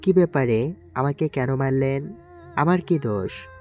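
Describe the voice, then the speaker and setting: A man's voice: mid-range voice, animated, measured pace, mellow, faint music behind, close to an everyday voice. A man playing a humble young man who answers accusations earnestly and defensively. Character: humble young man, poor but calm.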